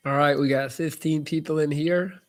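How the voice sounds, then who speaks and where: A man's voice: on the high side, fairly even intonation, normal speed. A young man with Dutch as his first language, hosting a casual live Q&A and reading viewer messages aloud with loose, restarting answers. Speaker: livestream host answering viewer questions.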